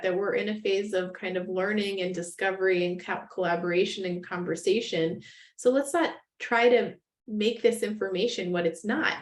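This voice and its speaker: A woman leading a discussion for medical residents, talking in a loose, conversational way full of small repeats. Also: mid-range voice. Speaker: lecturer.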